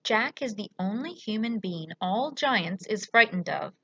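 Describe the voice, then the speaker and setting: A woman voicing a gentle male storybook giant, speaking earnestly and often hushed, in quaint muddled grammar. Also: medium pitch, animated, measured pace, no room sound, dull audio. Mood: earnest explaining.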